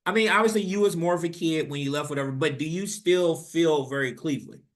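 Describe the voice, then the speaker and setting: A man's voice: a little high, fast talker. A man from Louisville hosting a podcast, asking questions in a laid-back, teasing way and laughing often. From Louisville, Kentucky. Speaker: podcast host. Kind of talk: casual conversation.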